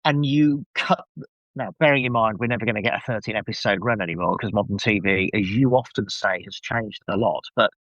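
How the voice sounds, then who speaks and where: A man speaking as a podcast guest in casual discussion, halting and repeating words as he builds his point. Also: on the low side, fairly bright tone, no echo, muffled recording.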